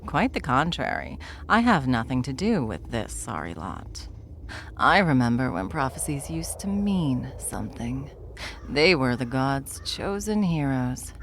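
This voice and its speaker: A woman playing an ancient, smug, wry stranger in an ASMR fantasy roleplay, with theatrical, taunting delivery and a gravelly voice. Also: very deep voice, sing-song, slight background noise, heavily acted. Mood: wry, disdainful. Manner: sardonic, theatrical, unhurried taunting. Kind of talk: ASMR audio roleplay acting.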